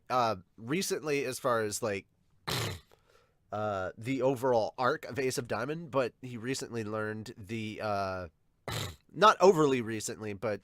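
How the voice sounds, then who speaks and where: A man's voice: mid-range voice, a bit bright, no echo, studio quality. A man hosting a podcast, reading comments aloud and chatting casually in a somewhat hesitant way. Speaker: podcast host reading and discussing viewer comments.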